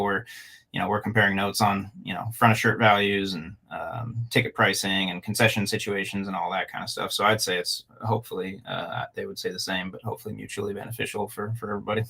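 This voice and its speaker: A man's voice: on the low side, vocal fry, fairly bright tone, husky. A man from Canada and Alaska, a guest on a talk show, speaking casually and hesitantly with many fillers. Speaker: guest, club owner. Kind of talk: casual interview.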